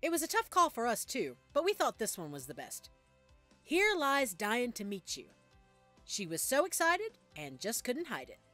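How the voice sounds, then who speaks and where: Woman playing a bright, bossy-friendly party organizer. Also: a little high, wildly swooping pitch, faint music behind, full character voice. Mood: announcing. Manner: brisk, friendly, directing. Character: upbeat, organizing young woman judging party games. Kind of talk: audio roleplay acting.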